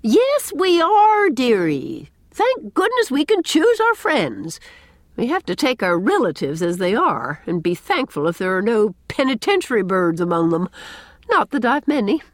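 A woman voicing a brisk, opinionated middle-aged village spinster in a storybook, with an emphatic, folksy, tart way of talking. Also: fairly high pitch, huge pitch swings, dry recording, studio quality, pitched above the performer's own voice. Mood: brisk, hearty.

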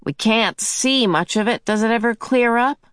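A woman's voice: a little high, moderate pace, fairly bright tone, no room sound, studio quality, pitched above the performer's own voice, close to an everyday voice. A woman voicing a curious teenage boy looking around.

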